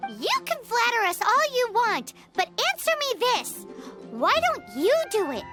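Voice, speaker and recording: A tiny, chirpy floating fairy sidekick from a video game, blunt and talkative. Her voice is extremely high and jumps around a lot. Clear music runs behind her. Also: big pitch swings, measured pace, heavily acted. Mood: sassy. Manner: chirpy, blunt, talks about herself by name.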